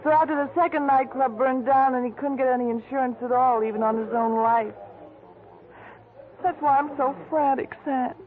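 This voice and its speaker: An actress playing a tipsy, flirty gangster's wife who rambles and slurs in a 1940s radio drama. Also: female voice, high voice, fairly even intonation, moderate pace, lightly acted. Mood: tipsy, rambling. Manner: chatty, wandering, stumbling over words. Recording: muffled vintage radio recording with music.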